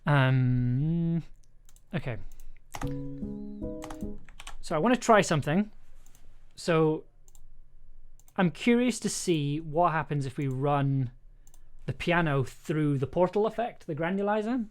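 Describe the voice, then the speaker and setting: Streamer-composer, mid-range voice of ambiguous gender, casual and halting, playful exclamations, music underneath. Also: medium pitch, animated, darkish tone, smooth. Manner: thinks aloud in halting fragments, playful exclamations. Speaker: streamer, audio drama producer and composer. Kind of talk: casual livestream commentary while making music. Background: music being worked on, keyboard clicks and typing.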